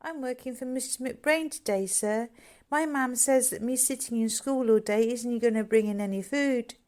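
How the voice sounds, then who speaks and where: A woman puts on a small, studious boy from a storybook. He speaks politely and earnestly. His voice sits higher, pitched up for childhood. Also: moderate pace, a little mellow, close to an everyday voice. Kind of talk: character dialogue in a novel reading.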